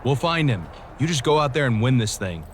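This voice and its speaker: A man playing a steady, wary wrestling teammate in a video game cutscene. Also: medium pitch, brisk, a little hiss or hum, close to an everyday voice. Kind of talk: scripted game cutscene dialogue.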